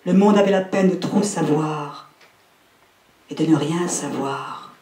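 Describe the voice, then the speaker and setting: A man recites verse in a fairly high voice. His delivery is slow, and the room echoes clearly around him. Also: darkish tone, slight background noise. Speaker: reader at a poetry event. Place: indoor literary event room.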